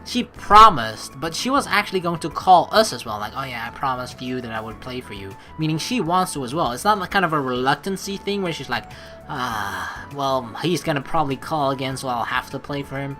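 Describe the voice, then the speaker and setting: A woman doing chatty, excitable game commentary, mixing story text read aloud with playful asides. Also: extremely low pitch, fairly quick, faint music behind. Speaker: let's play host.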